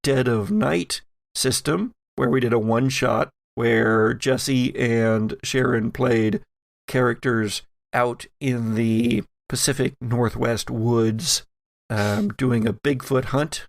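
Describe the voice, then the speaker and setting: A man hosting and game-mastering a tabletop podcast, talking in a measured way with a storyteller's flair. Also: medium pitch, creaky, no echo, studio quality. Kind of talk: casual chat and narrated game recap.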